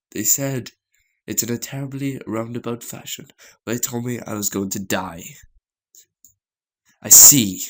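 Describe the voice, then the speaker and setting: A man reading a visual novel story aloud as a gaming host, in a halting way with stumbles and repeated phrases. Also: mid-range voice.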